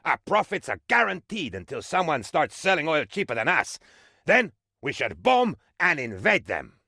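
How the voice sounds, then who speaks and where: An actor playing an eager, bumbling, cheerfully shameless aide to a dictator in a video game, with a theatrical, mock-official delivery. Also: male voice, fairly high pitch, huge pitch swings, moderate pace, sharp tone, dry recording, clean studio sound, full character voice. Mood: gleeful, smug. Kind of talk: comic video game voice acting, reporting to his leader.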